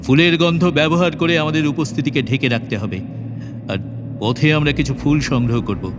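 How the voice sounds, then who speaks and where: A man's voice: on the high side, brisk, noisy background, natural voice. A man playing a commanding, protective fairy-tale king and father in an audio drama, stately and emphatic, often repeating his opening words. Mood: thoughtful. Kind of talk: Bengali audio drama acting. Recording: long reverb, music behind.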